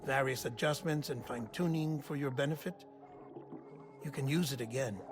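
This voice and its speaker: A man acting a knowing, wry aide in a video game drama. His mid-range voice is measured and formal, over background music. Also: mid-range voice, close to an everyday voice. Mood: calm, wry. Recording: music behind the voice.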